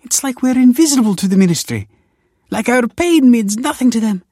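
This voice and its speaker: Man voicing a desperate, high-pitched pleading woman. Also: lively intonation, brisk, warm tone, no echo, studio quality, heavily acted. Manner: imploring, emotional, breathy.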